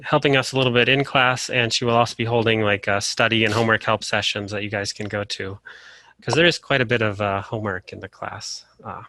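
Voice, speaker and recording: A man from Colorado leading a casual online class over a video call, relaxed and full of "uh" fillers. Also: fairly low pitch, brisk, no room sound. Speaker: course instructor.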